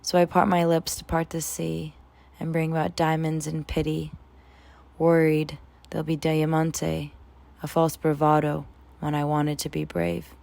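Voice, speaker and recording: Low, creaky-voiced woman reciting spoken word poetry. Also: completely flat delivery, measured pace, a little mellow. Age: 20s.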